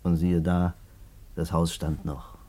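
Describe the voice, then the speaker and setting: Radio drama: evasive little man, lowish voice, wry, recounting and protesting. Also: level tone, takes it slowly, dark tone. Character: wooden-legged small-time man, evasive under questioning. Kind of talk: German radio drama acting.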